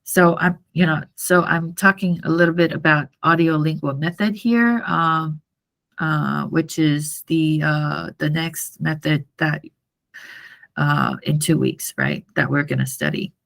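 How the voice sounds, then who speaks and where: Woman, native Mandarin speaker, university lecturer. Low register with vocal fry, halting and repetitive classroom explanation. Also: expressive, a bit bright. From Taiwan. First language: Mandarin Chinese. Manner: halting, repetitive, checks understanding often.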